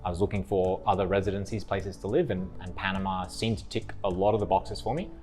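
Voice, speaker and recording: A young man with an Australian accent and a low voice, chatting as an interview guest. He rambles easily, restarting his sentences, while soft music plays in the background. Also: quick pace. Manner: relaxed, rambling with frequent restarts and laughs. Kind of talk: casual interview chat.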